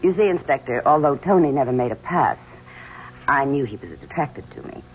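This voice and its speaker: A woman's voice: extremely low pitch, animated, a little fast. A woman playing a cool, sly lover under questioning, poised and arch, in a 1970s radio drama. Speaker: actor. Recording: dull 1970s radio, faint noise.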